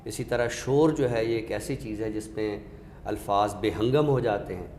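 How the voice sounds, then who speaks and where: A man with a Pakistani accent explaining literature in a scholarly, deliberate way, often by posing questions and giving examples. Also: lowish voice, darkish tone. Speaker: literary expert on a talk show. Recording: echoey hall reverb with background noise.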